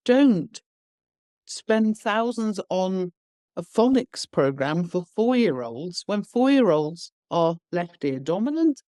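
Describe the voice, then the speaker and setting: A woman's voice: mid-range voice, big pitch swings, slow, mellow, no room sound, clean studio sound. A woman guest on a podcast interview, explaining her views bluntly and emphatically with everyday examples. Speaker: education founder, guest.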